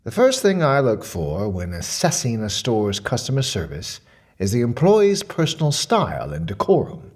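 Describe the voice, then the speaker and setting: An actor playing a fussy, self-important mystery shopper with quiet menace, delivering a precise, deliberate monologue in the form of a report. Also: male voice, fairly low pitch, breathy edge, heavily acted. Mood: fussy, measured. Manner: pompous, precise, deliberate, dwelling on words. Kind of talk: dramatic monologue as a spoken questionnaire report.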